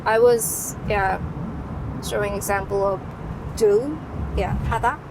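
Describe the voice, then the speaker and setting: A young Korean-accented woman speaks slowly and carefully, pausing often and searching for words between fillers. Her voice sits at an ordinary middle pitch. Traffic and street noise run clearly behind her in an outdoor recording. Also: steady tone. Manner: hesitant, many fillers and restarts, laughs lightly. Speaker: guest speaker answering class questions. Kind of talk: casual explanatory answers.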